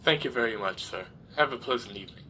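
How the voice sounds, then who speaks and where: A man's voice: medium pitch, lively intonation, quick pace, noisy background, close to an everyday voice. A man playing a deferential, formal steward on an ocean liner in a radio drama. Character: polite young steward on a liner. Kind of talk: fan radio drama acting.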